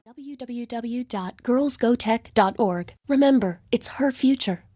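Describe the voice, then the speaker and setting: A woman reads a scripted public service announcement in a fairly high, mellow voice. The recording is dry, with faint music underneath. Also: a little slow, dry recording.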